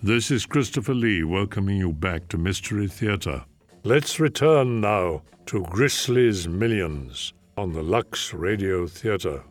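A male program host with a deep voice gives a scripted introduction. Music plays softly behind him. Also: expressive, measured pace.

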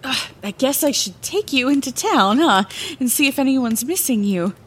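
A woman's voice: high-pitched, animated, moderate pace, husky. An actress playing a fond, playful middle-aged woman lighthouse keeper, telling her past as a theatrical bedtime story in a fantasy audio drama. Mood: earnest, playful. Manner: theatrical, wordy, chatty asides.

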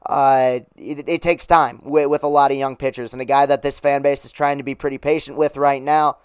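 A man hosting a casual sports podcast, upbeat and rambling with fillers, his voice a little hoarse. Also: medium pitch, flat delivery, fairly quick, dry recording, studio quality. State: losing his voice. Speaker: podcast host.

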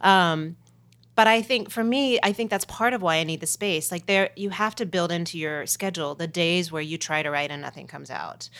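Low-voiced candid songwriter woman. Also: moderate pace, fairly bright tone. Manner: candid, reflective, self-mocking. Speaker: songwriter guest. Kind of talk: casual interview chat.

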